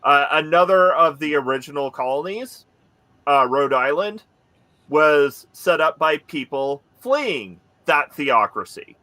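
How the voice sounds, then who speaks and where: A man from the Pacific Northwest chatting casually over a call, stopping often to search for words. His speech is slow and unhurried, with a bright, forward tone. The sound is dry and close. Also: a little high, animated, no room sound. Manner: halting storyteller, many fillers. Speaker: podcast cast member. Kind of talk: casual chat.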